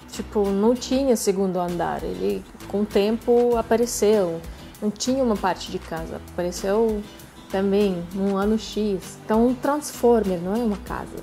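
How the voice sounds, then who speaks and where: A woman in her thirties from Russia, speaking with a Russian accent in a chatty, animated vlog, talking to camera from her sofa at home. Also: a little high. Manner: chatty, animated, laughing asides. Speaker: vlogger. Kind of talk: casual home-tour vlog. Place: living room on a sofa, indoors.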